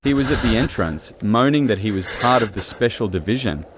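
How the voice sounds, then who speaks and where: A man's voice: lowish voice, animated, a little fast, lightly acted. A man voicing a brash, flirty devil hunter squad leader in a manga fan dub, casual yet commanding. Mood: grumbling, casual. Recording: noisy track with street ambience.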